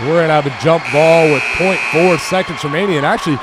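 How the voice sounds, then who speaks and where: A young American man giving live basketball play-by-play in short, clipped calls that rise to excited shouts. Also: on the high side, fast talker. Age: young adult. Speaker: play-by-play commentator. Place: indoor high school gym during a game. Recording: noisy gym with loud crowd. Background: crowd noise, bouncing basketball.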